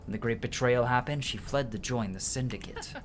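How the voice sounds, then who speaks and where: A man's voice: medium pitch, brisk, over music. A man doing casual let's-play commentary, informal and sweary, reading game dialogue aloud as he plays. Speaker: game streamer.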